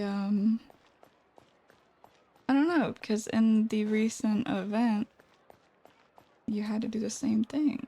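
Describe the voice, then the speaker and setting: A woman giving cozy, quiet gameplay commentary, softly musing and hesitant. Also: medium pitch, vocal fry. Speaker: game streamer commentator.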